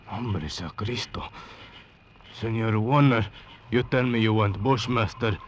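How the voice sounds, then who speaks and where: An actor playing a wary, superstitious Venezuelan guide in an old-time radio drama, speaking clipped, broken English with a Spanish accent. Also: male voice, lowish voice, unhurried, lo-fi sound, lightly acted. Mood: stubborn, resentful. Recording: noisy old radio recording.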